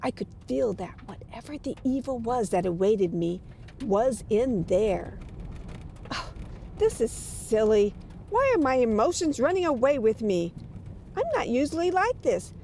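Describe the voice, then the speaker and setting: A woman's voice: a little high, very animated, measured pace, a little mellow, slight background noise. A woman playing an earnest, easily frightened magazine reporter in an audio drama, polite and theatrically emotive. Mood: uneasy.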